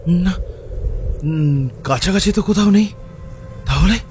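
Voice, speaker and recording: A man's voice: high-pitched, slow pace, a little mellow, soft background music, pitched above the performer's own voice. Man voicing anxious space explorer, raised voice. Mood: worried searching. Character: anxious male space explorer searching for crewmates. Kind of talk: audio story acting.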